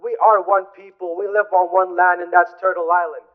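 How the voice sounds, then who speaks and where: A young man addresses a rally in an earnest, deliberate way. His voice sits a bit high, and the sound is narrow and phone-like. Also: level tone. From Cross Lake, Manitoba, Canada. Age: young adult. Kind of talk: public speech at a rally. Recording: muffled, phone-like event recording.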